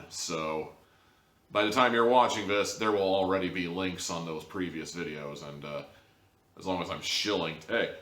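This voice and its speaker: Low-voiced man in an echoey room. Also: a bit bright. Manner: chatty, informal, emphatic asides. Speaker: host and reviewer of audio dramas. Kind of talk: casual review monologue. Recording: roomy, echoey sound.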